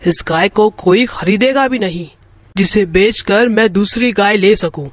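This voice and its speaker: Guy doing a grumbly mean cow owner from a moral story, high pitched, slow, dry recording. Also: dry recording. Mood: grumbling. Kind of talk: acted children's moral story.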